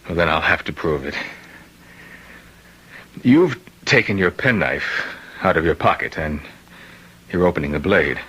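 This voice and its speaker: An actor playing a gravely ill, solemn and insistent man who believes a killer's spirit possesses him, in old-time radio drama style. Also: sits low, lively intonation, unhurried, long pauses, a bit bright, heavily acted, rough recording. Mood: commanding, insistent.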